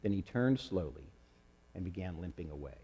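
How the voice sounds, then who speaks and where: A man reading a children's story aloud in a slow, dramatic storytelling style. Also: deep voice, animated, warm tone, slightly rough, lo-fi sound. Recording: echoey hall with long reverb.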